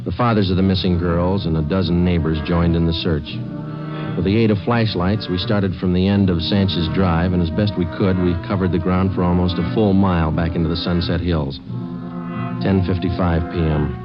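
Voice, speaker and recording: A man playing a stoic police sergeant in a 1950s radio drama, with clipped, deadpan delivery. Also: sits low, normal speed, natural voice. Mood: matter-of-fact. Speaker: narrator and lead actor. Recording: dull old radio recording, music behind.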